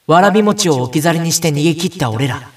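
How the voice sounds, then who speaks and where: A man's voice: a little high, expressive, fast, distant mic, lightly acted. A man playing an earnest, kind young boy who narrates his own adventure in an audio drama. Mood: tense narration. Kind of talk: audio drama inner monologue.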